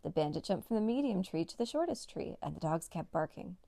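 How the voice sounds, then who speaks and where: A woman's voice: on the low side, sing-song, fairly quick, gravelly, warm tone, no echo. A woman narrating a children's read-along story as a storyteller.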